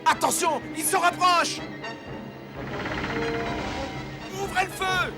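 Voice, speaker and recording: A man's voice: very high-pitched, fairly even intonation, moderate pace. A man playing a young, bold and determined sea adventurer in a dubbed cartoon, earnest and intense. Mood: urgent, commanding. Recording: loud music over the voice.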